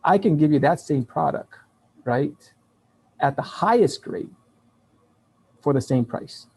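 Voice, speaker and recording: A man of fifty, raised in Taiwan and Hawaii with Taiwanese as his first language, telling business stories as a guest in a casual interview with lively restarts and laughs. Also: on the high side, unhurried, gravelly, husky. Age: 50s. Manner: animated storytelling with frequent restarts and laughs.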